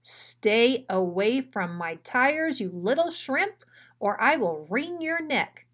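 A woman's voice: a little high, sing-song, slow, a bit bright, full character voice. A woman voicing a big ugly male Rottweiler in a children's story, blunt and dramatic in manner. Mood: menacing. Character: big ugly bullying male Rottweiler, gruff, then self-pitying.

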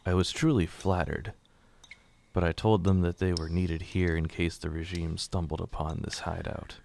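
A man reading scripted radio drama narration in a measured, serious manner. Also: sits low, little pitch movement, gravelly, a little mellow, slight background noise.

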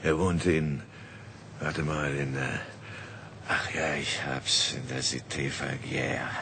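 An extremely deep-voiced old tramp, gruff and ragged yet wistful. He grumbles and halts his way through radio drama dialogue, over clearly noisy ambience. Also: steady tone, sharp tone, full character voice. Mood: wistful, reminiscing. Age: older. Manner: grumbling, halting, reminiscing.

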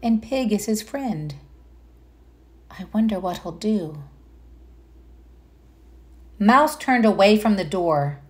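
A woman reading a children's picture book aloud as a storyteller, pausing to comment and put questions to young listeners. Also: mid-range voice, expressive.

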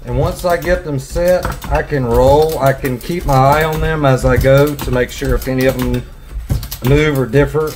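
A man's voice: mid-range voice, fairly even intonation, moderate pace, noisy background. Man explaining a blade setter in his workshop. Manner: plain, step-by-step explaining with restarts. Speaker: sawmill blade technician. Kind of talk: hands-on workshop demonstration. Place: wood-walled workshop, indoors.

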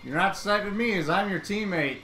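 A young man streaming a shooter game from home at a desk mic, commenting excitedly and breaking into high-pitched yelps when startled. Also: brisk. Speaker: gaming streamer. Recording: roomy with game music behind.

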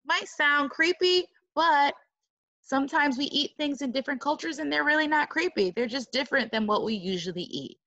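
A woman reading a picture book aloud to children like a teacher, dramatic and expressive, with exclaiming asides. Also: high-pitched, normal speed, muffled recording.

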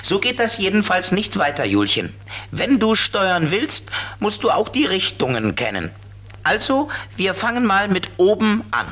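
A man voicing a grumbling flying companion with a husky, expressive voice in a children's radio play. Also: sits high, unhurried, exaggerated voice. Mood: grumpy.

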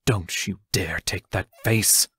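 A woman's voice: rumbling low, slow pace, a bit bright, husky, no echo, studio quality, full character voice. A woman playing a terse, protective, anguished teacher in an audio drama, giving firm commands. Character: stern, protective, anguished adult teacher facing fairies.

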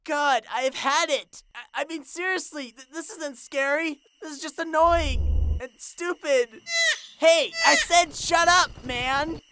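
A timid young female cartoon pony acted by a woman, high-pitched and bright. She stammers nervously in slacker-like speech and takes her time, with faint noise behind her. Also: slow, poor audio. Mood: fed up. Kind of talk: parody cartoon dub acting.

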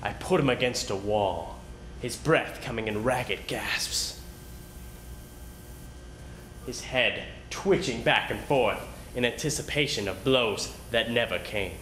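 A man giving an intense, deliberate dramatic reading of a narrative. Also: fairly bright tone. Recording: roomy echo, faint rumbling noise.

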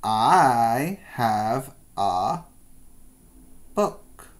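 A man's voice: medium pitch, big pitch swings, drawn-out words. Man reading as a matter-of-fact little storybook girl.

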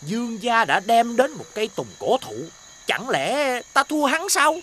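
A man voicing a haughty, greedy rich lord in an animated fairy tale, pompous and bossy. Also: extremely high pitch, a little slow, slight background noise, full character voice. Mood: impatient, haughty. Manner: pompous, commanding. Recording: loud music behind the voice.

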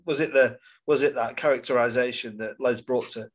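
A man's voice: mid-range voice, fairly even intonation, brisk. A man hosting a casual podcast interview, starting his questions hesitantly with repeated openings.

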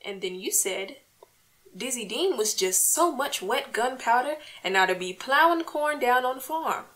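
Woman playing peacemaking housewife no longer young, fairly high voice, folksy, plaintive, coaxing, old-time radio comedy. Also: expressive, normal speed, a bit bright. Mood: careful, reproachful.

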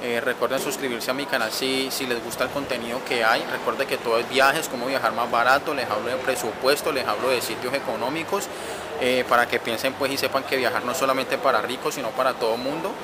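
A man's voice: medium pitch, steady tone, quick pace. A young man with a Colombian accent, vlogging his travels to camera in a chatty, enthusiastic way. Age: young adult. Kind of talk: casual travel vlog to camera. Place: outdoors at a stadium and a bus station. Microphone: phone or camera held at arm's length. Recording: outdoor city noise, traffic. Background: loud urban ambience and vehicles.

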